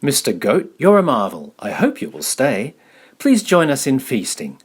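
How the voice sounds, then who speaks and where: Man voicing a cheerful husky-voiced female sheep. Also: mid-range voice, huge pitch swings, normal speed. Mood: delighted, welcoming. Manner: cheerful, welcoming. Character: friendly, delighted female sheep in a storybook.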